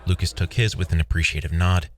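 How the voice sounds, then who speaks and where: A man's voice: low-pitched, steady tone, fast, natural voice. A man playing a weary, wry programmer who narrates his own story as a scripted first-person audio drama. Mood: wry, reflective.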